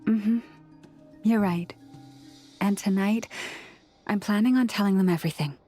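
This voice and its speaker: A woman voicing a gentle young priestess in a video game, soft-spoken, earnest, measured and formal. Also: mid-range voice, faint music behind, lightly acted. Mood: grateful, solemn. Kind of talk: English video game voice acting.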